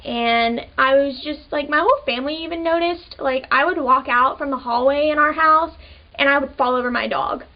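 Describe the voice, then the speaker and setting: A young woman of twenty with a high voice, talking to camera in a relaxed, chatty way and leaning on "like" between thoughts. The audio sounds a little dull and muffled. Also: moderate pace. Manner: casual, chatty, many "like" fillers. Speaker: vlogger. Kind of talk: personal storytelling to camera.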